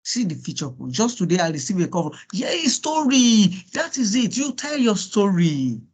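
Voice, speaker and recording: A man's voice: sits high, sing-song, normal speed, husky. A Nigerian man, a native Yoruba speaker, coaching students in an informal workshop with an encouraging, repetitive manner and rhetorical questions. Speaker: guest speaker coaching students on LinkedIn profiles.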